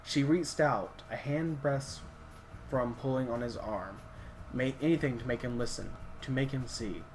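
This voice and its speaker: A man's voice: mid-range voice, a little slow, a little mellow, slight background noise. A man reading a game story aloud, with hesitant restarts and wry side remarks. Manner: reads with hesitant restarts, stops to comment. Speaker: story reader.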